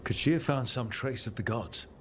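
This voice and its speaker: A man's voice: medium pitch, moderate pace, a little hiss or hum, natural voice. Earnest young hero leader played by a man. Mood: resolute. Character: young male leader of heroes, earnest, resolute. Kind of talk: dramatic video game acting.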